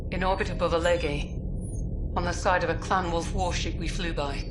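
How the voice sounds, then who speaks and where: A woman's voice: on the low side, steady tone, moderate pace, lightly acted. A woman acting as a serious, efficient military officer in a sci-fi war game cinematic. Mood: matter-of-fact. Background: loud cinematic music.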